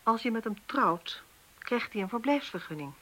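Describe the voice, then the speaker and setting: An actress in a radio drama playing a brisk female official who questions people curtly. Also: on the high side, expressive, fairly bright tone, low-quality recording. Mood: probing. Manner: probing, curt.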